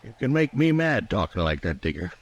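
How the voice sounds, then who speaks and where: An American actor playing a callous, curt, taunting rancher in a radio western. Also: male voice, fairly low pitch, sing-song, fairly quick, highs cut off. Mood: impatient, menacing. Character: menacing, callous Old West lynch-mob rancher. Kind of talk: 1950s radio western acting.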